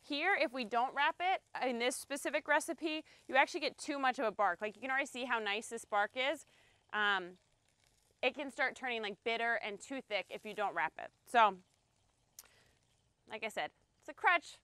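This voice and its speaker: A woman's voice: a little high, moderate pace, pushed and bright, smooth, dry recording. A woman in her thirties giving a casual, chatty cooking demonstration on an outdoor deck, explaining as she works. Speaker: cooking instructor. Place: outdoor deck beside a grill.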